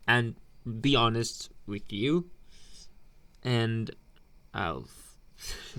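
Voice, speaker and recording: A man playing a teasing delinquent bully boy with a secret soft side, in a taunting, drawn-out anime ASMR roleplay. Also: mid-range voice, gravelly. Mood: softer, hesitant. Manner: taunting, drawn-out, questioning hums. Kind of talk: ASMR boyfriend roleplay, slow intimate speech.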